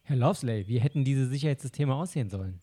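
A man's voice: mid-range voice, animated, fast talker, warm tone, lightly acted. A man voicing a young male detective's assistant who keeps asking probing questions.

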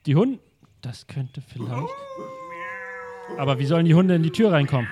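A man's voice: on the high side, animated, normal speed, noisy background, natural voice. A man playing a haughty, condescending male duke. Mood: lofty.

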